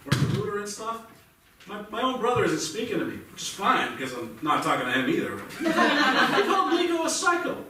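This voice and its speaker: Defensive middle-aged dad played by a male stage actor, animated and argumentative, heard far off in a reverberant theatre. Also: sits high, brisk, exaggerated voice. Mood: insistent. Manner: insistent, argumentative, stumbling over restarts. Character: defensive, combative father shielding his teenage son. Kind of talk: live staged play reading, naturalistic. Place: indoor theatre stage, recorded from a distance. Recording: echoey, distant theatre recording with faint noise.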